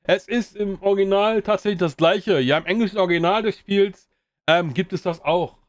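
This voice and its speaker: A man's voice: high-pitched, moderate pace, dry recording. A man giving a casual spoken review of an old video game, rambling with many fillers and restarts.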